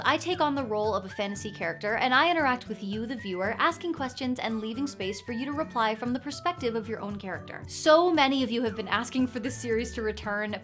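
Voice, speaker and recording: A woman hosting a roleplay video, presenting scripted material directly to the viewer. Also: a little high. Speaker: video host and creator. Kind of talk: scripted upbeat presenting to camera.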